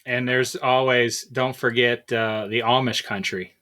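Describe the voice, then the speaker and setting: A man's voice: mid-range voice, steady tone, measured pace, pushed and bright. A man co-hosting a podcast in casual conversation with friends, wry and freely profane. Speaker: podcast co-host.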